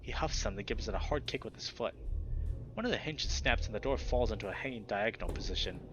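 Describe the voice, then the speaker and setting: A man reading a visual novel aloud and commenting casually as a video host, expressive when he reacts. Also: fairly low pitch, quick pace. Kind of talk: casual let's play commentary and narration. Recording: slightly dull recording with background music. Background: game music.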